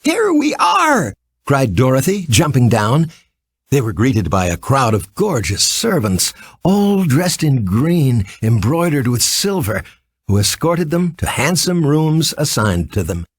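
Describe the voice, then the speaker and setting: A man reading a scripted children's story as an expressive, theatrical narrator. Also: mid-range voice, unhurried, breathy edge, no echo.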